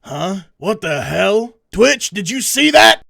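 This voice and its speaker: A blustering, sardonic police detective acted by a man talks in a high voice for a man, with a gravelly rasp. He is cocky and bossy, as heard in a comic dub. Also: very animated, takes it slowly, bright, forceful tone, heavily acted. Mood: angry. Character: brash, sarcastic, cocky male police detective.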